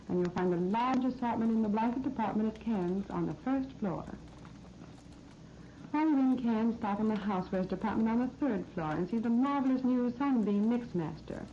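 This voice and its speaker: A woman with a fairly high American voice presents a scripted shopping segment on 1930s radio. Her delivery is promotional and instructive, like practical sales patter. The recording is dull and lo-fi, with faint noise from the old disc. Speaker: radio shopping program host.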